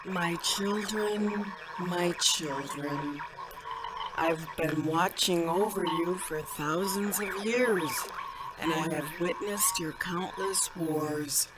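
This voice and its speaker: A woman performs an ageless motherly being watching gravely over humanity in a radio drama. The voice is low and very slow, with solemn, measured delivery, buried in heavy transmission noise. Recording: very noisy radio transmission, ambient sound.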